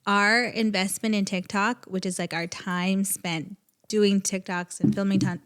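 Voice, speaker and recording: A woman's voice: mid-range voice, fairly even intonation, a little slow, a bit bright, clear, resonant tone. A woman in her thirties co-hosting a podcast in casual chat, with a bubbly, smiley and friendly manner. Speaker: podcast co-host.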